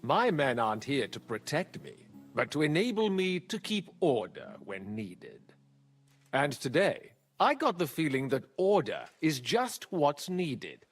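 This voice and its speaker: An actor playing a pompous, mock-polite and menacing royal official, a villain in a medieval video game. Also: male voice, a little high, very animated, unhurried, faint music behind, exaggerated voice. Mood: smug. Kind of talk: dramatic video game acting.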